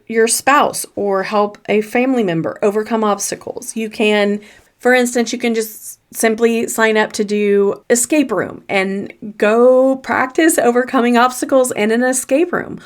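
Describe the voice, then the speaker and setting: Encouraging woman with a faint Southern accent. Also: a little high. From Southern United States. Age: young adult or older. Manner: earnest, encouraging, repeats key phrases. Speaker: podcast host and confidence coach. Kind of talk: motivational solo podcast talk.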